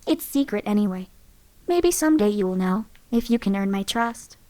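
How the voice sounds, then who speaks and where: A woman's voice: medium pitch, lively intonation, normal speed, mellow, close to an everyday voice. A woman voicing a secretive, teasing female game companion who talks in a cool, guarded way. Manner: cool, guarded, slightly condescending. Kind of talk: game voice line previews.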